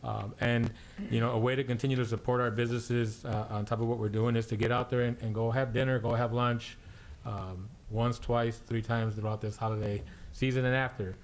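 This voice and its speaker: A man from Illinois talks quickly in a flat, lowish voice, giving closing remarks at a council meeting. His thoughts wander with plenty of fillers, over faint background noise. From Illinois, USA. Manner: rambling, many fillers and restarts. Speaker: city council member. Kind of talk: council member's closing comments. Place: city council chamber.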